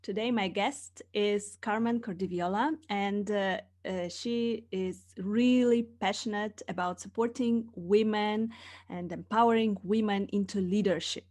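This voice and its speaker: A woman's voice: mid-range voice, fairly even intonation, unhurried, a little mellow. A woman hosting a podcast interview, introducing her guest with frequent hesitant "uh" fillers. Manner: hesitant, many filler uhs. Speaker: podcast host.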